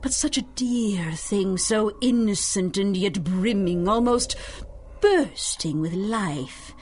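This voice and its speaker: An actress playing an ancient, life-stealing sorceress who speaks in a silky, menacing, gloating way, in a 1930s-style radio drama. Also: female voice, mid-range voice, takes it slowly, darkish tone, a little hiss or hum, lightly acted. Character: ancient scheming sorceress woman, over sixty, life-stealing villain.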